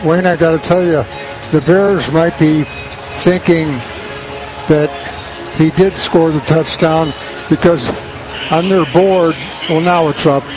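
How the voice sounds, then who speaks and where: A man giving conversational color commentary on a football radio broadcast, with wry, halting asides. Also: a little high, fairly even intonation, music in the background, poor audio. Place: stadium broadcast booth.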